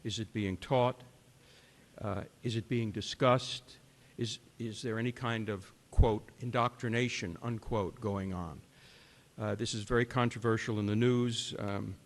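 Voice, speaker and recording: A man giving public comment at a town board meeting, speaking in a measured, formal way and laying out his points in order. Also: fairly low pitch. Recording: reverberant meeting room.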